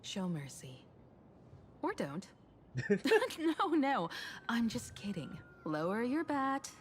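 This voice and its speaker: A woman playing a poised, knowing guide with dry humour in an anime-style game, composed and deliberate. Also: fairly high pitch, big pitch swings, slight background noise. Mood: dry teasing.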